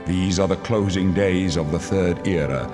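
An actor playing a weary, solemn aged emperor in a video game, narrating in a grave, stately, measured manner. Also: male voice, deep voice, fairly even intonation, lightly acted. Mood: solemn foreboding. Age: older. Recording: long reverb under loud music.

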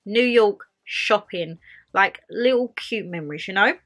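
A woman's voice: mid-range voice, measured pace, fairly bright tone. A young woman chatting casually to camera from her bedroom, bubbly and gesturing as she talks, still getting over a cold. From England. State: lingering cold. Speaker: vlogger. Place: bedroom, indoors.

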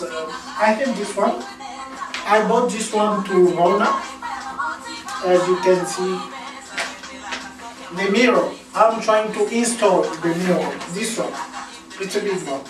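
A middle-aged man from Congo with a Congolese French accent, talking casually to camera in a home vlog, rambling and repeating himself with many fillers. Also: high voice. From Democratic Republic of Congo. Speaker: video host. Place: small home bathroom, indoors. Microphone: camera mic from across the room. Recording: roomy echo, distant camera mic, music behind.

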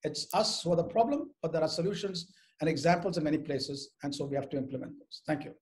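An older man with a somewhat high voice speaks as a panelist on a video call. His delivery is formal and measured, with carefully articulated points. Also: expressive, a little mellow. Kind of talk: panel discussion remarks. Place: indoors at home, on a group video call.

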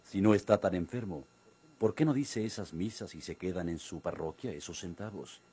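An actor playing a grave, inquisitive village priest, speaking in a measured way in a radio drama. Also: male voice, low voice, expressive, warm tone, slight background noise. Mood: puzzled, measured. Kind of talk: Mexican radio drama acting.

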